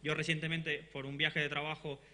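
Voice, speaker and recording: A man in his thirties with a Cuban Spanish accent, introducing a conference panel from a lectern with brisk speech and frequent fillers. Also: a little high, level tone, sharp tone. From Cuba. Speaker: event host introducing a panel. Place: conference hall, standing at a lectern. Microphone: lectern microphone.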